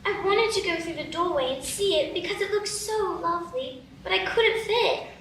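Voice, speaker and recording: Woman doing a prim curious little girl, super high voice, echoey room and far from the mic. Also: normal speed, a bit bright, a little hiss or hum. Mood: puzzled. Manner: wondering, earnest and prim. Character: curious, polite young girl lost in a strange land. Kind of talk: live radio play acting. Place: live stage.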